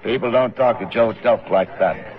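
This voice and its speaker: An actor playing a taunting, boastful, menacing gunfighter in a 1950s Western radio drama. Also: male voice, lowish voice, moderate pace, a crowd in the background, natural voice. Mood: cocky, taunting. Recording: noisy, dull 1950s radio recording.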